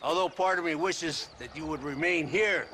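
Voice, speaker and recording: Flamboyant, high-voiced Mexican officer. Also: male voice, moderate pace, bright, forceful tone, slight background noise. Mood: smug, expansive. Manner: flamboyant, mock-courteous, sneering, prone to drawling. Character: smug, lecherous, cruel Mexican army officer. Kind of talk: theatrical video game acting.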